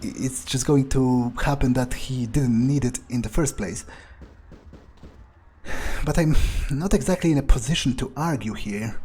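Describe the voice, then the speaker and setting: A resentful fledgling vampire , voiced by a man, thinking aloud through a game playthrough. His voice is mid-pitched, soft-edged and husky. He mutters suspiciously and hesitantly, grumbling as he improvises. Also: a little slow. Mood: suspicious, annoyed. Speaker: gaming video player.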